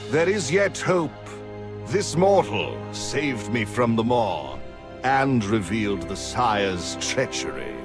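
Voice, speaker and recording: An actor playing an earnest, aristocratic rebel prince in a gothic fantasy video game, formal and impassioned. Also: male voice, medium pitch, very animated, slow, music in the background. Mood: hopeful. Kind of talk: video game cinematic acting.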